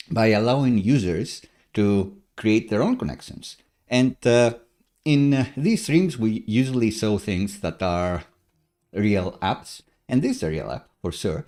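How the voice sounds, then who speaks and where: A man's voice: lowish voice, warm tone. A man in his thirties, not a native English speaker, hosting a casual live coding stream from a room at home, chatty and upbeat with many filler uhs and small laughs.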